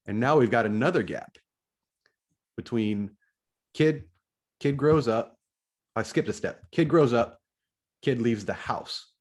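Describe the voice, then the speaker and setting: A man's voice: medium pitch, slow pace, pauses often. A middle-aged man hosting a podcast, explaining his ideas in a casual monologue and often restarting phrases as he checks his notes. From United States. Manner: thinks aloud, often restarting phrases while reading from notes. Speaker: podcast host.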